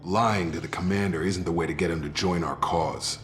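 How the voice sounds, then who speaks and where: An actor playing a steady, direct and earnest soldier aboard a space station in a video game. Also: male voice, sits low, normal speed, long reverb tail, a little hiss or hum, lightly acted. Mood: doubtful.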